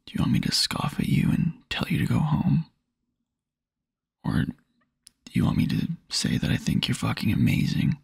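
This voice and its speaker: A man playing a tender, wistful young boyfriend in an intimate ASMR roleplay, murmuring softly and haltingly in a husky near-whisper. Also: mid-range voice, dry recording, clean studio sound, exaggerated voice. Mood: flustered, yearning. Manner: soft, halting, intimate murmur with small chuckles. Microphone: close microphone, dry.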